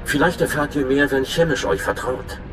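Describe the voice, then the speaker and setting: A man's voice: mid-range voice, normal speed, reverberant, noisy background, lightly acted. A man playing a nervous, friendly young undercover informant in a video game, chatty and informal with a touch of anxiety. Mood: anxious, confiding. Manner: chatty, informal, slightly anxious. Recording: clear music behind the voice.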